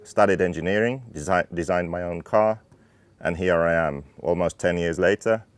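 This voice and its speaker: A man in his thirties from Finland, a racing team owner calmly explaining his car in technical detail. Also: sits low, moderate pace, clear, resonant tone, no room sound. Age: 30s. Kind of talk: interview talk about his car.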